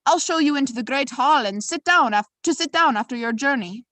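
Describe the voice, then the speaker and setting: A woman voicing a giant's quick-witted, politely chatty wife in an attempted Irish accent for a storybook tale. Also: high voice, animated, fairly bright tone, no room sound. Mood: sweetly welcoming.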